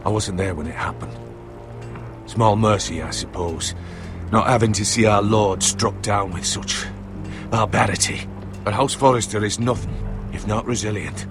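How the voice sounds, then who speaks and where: An actor playing a grave, devoted middle-aged uncle in a fantasy video game, speaking in measured, formal phrases. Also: male voice, on the low side, music in the background, natural voice. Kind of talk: video game drama acting.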